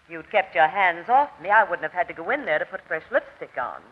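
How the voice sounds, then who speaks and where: A woman's voice: fairly low pitch, lively intonation, fairly quick, husky. An actress playing a brassy, streetwise young woman, sassy and slangy, in a 1940s radio crime drama. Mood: accusing, assured. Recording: thin, phone-like old radio broadcast.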